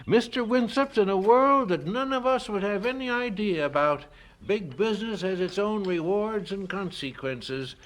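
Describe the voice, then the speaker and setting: A genial small-town shopkeeper, pitched high for a man, chatty and folksy. He speaks in an old radio drama style, easily amused and kindly toward youngsters. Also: animated, moderate pace, poor audio. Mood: thoughtful. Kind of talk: 1970s radio drama acting. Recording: vintage 1970s radio drama recording.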